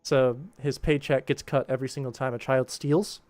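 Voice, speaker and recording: A man's voice: mid-range voice, steady tone, normal speed, darkish tone, no room sound. A man hosting a livestream, chatting casually and explaining his work in an easygoing way. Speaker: livestream host and animator. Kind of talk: casual livestream chat, answering viewers while working. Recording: music playing behind the voice. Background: music.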